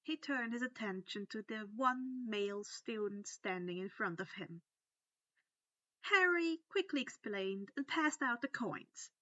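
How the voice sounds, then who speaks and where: A woman's voice: a little high, animated, a little slow, bright, forceful tone, dry recording, lo-fi sound. A woman reading a scripted story aloud as its narrator. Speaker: narrator of fan fiction.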